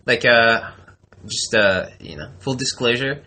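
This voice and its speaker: A man from Mexico hosting a casual interview, chatty and quick to laugh, with lots of fillers, recorded on a phone in a church office. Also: medium pitch, pushed and bright, slight background noise. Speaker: podcast host. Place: church campus center office. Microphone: phone on a table.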